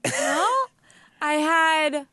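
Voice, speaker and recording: A woman from Brooklyn, a guest on a chat show, quick with playful comebacks. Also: sits high, level tone, sharp tone, no room sound, studio quality. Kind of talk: casual chat.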